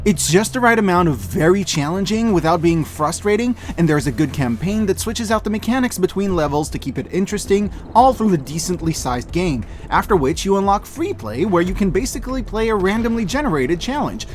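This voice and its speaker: A man hosting a scripted review in a casual, joking, wry way, with expressive, emphatic delivery. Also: high-pitched, a little fast, few pauses, slight background noise. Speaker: host of a year-end media review show. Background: music behind the voice.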